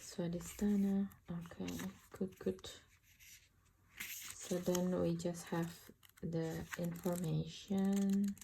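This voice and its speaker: A woman's voice: on the low side, level tone, slow pace, gravelly, dark tone, a little hiss or hum. A woman with an Indonesian accent giving a casual, hesitant tarot deck review, searching for words as she talks. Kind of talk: casual unboxing and flip-through commentary.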